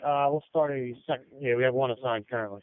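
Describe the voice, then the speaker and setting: Guy on emergency radio, thin phone-like sound, short clipped messages, normal pitch. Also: fast talker, no room sound. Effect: two-way radio. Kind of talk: terse radio traffic. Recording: tinny narrowband radio transmission.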